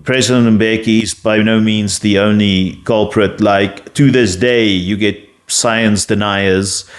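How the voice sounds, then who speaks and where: A South African man whose first language is Afrikaans, reading a prepared script and then rambling loosely in a casual rant full of fillers and restarts. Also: on the low side. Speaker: political commentator.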